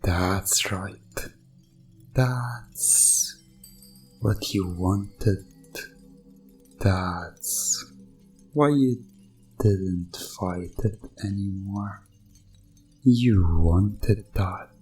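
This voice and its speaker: Man acting as a slow, sinister hypnotist doctor. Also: sits low, a little mellow, faint music behind. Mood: controlled, commanding. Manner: slow, commanding, soothing, deliberate countdown-style delivery. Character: sinister male doctor hypnotizing a drugged test subject. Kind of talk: hypnosis ASMR roleplay.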